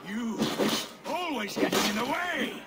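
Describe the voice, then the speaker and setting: A man playing a vengeful, contemptuous warrior villain with a gravelly voice in a video game drama. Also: very high voice, expressive, slow, exaggerated voice. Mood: menacing, enraged.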